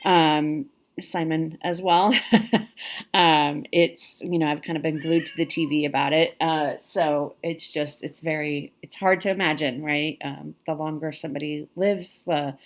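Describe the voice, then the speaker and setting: A low-pitched middle-aged woman talks casually to her viewers, her voice a little congested. She rambles warmly with frequent ums and restarts, like a crafter catching up with regulars. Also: fairly even intonation, a little slow. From Pacific Northwest US. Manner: chatty, many ums and restarts. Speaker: cross stitch vlogger. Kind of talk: casual chat to camera.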